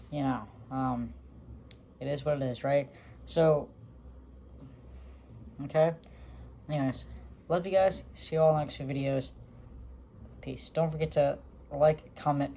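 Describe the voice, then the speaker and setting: A man's voice: a little high, creaky, a little mellow, slight background noise. A young man talking casually to his viewers, rambling with many fillers and restarts. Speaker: vlogger. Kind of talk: casual talk to camera.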